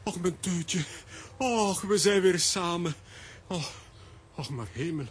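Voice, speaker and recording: An actor playing an excitable, sentimental grown man in a children's radio play, exclaiming and sighing melodramatically. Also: high voice, slow pace, slightly rough, heavily acted. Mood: grieving. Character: excitable, sentimental, dramatic grown man, comic-strip hero.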